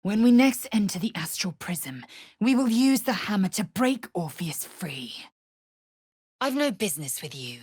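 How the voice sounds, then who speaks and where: An actress playing a fierce, proud alien warrior woman in a video game, with a raspy voice and curt, imperious, formal diction. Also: mid-range voice, big pitch swings, a little slow, darkish tone, no echo, clean studio sound. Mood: resolute, then dry, dismissive.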